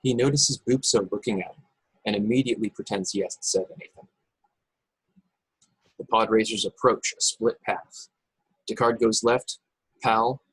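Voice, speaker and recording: A man's voice: on the low side, slightly rough, dry recording, low-quality recording. A man narrating stage directions from a script at a table read over a video call. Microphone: video call, close and dry.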